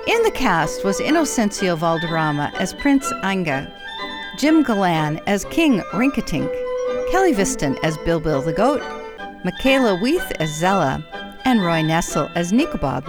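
Animated woman announcer over loud music. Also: lowish voice, moderate pace. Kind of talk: scripted theatrical radio announcing.

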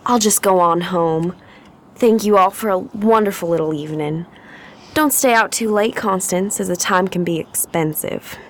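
A woman's voice: on the low side, normal speed, slight background noise, close to an everyday voice. Woman acting gushing Southern belle card player. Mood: folksy, sweet. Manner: folksy, sweet, gushing. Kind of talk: 1920s period radio theater acting.

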